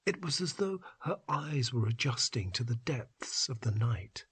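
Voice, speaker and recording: Very husky male story narrator. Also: medium pitch, expressive, a little slow, fairly bright tone. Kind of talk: scripted literary story narration.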